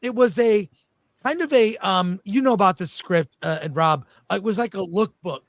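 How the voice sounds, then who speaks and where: A man hosting a casual panel chat, telling things in an enthusiastic, halting way. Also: high voice, dry recording. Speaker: host.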